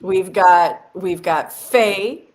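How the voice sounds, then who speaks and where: A woman's voice: on the low side, drawn-out words, darkish tone. A woman co-hosting a casual live stream, giggly and a bit phlegmy, greeting viewers by name. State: phlegmy, coughing.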